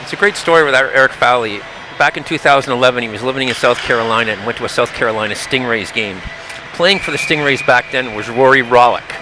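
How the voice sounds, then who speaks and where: A man's voice: medium pitch, fast talker, noisy background. Rambling male hockey color commentator. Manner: rambling, repeats words while searching.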